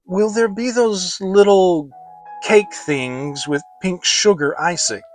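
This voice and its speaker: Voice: medium pitch, slow pace, faint music behind, voice pushed up, natural voice. A narrator voicing a simple, hopeful, childlike male toy bear in a children's story, naive and wondering.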